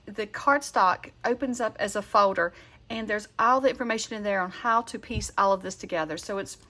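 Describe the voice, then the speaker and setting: A woman's voice: a little high, a bit bright. A woman with a Southern accent hosting a card-making channel, talking through a craft tutorial in a chatty, rambling way and correcting herself as she goes.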